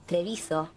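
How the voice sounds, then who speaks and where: Stuffy-nosed woman streamer chatting. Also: slight background noise. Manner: chatty, chats with viewers. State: stuffy nose. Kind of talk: live gaming stream chat.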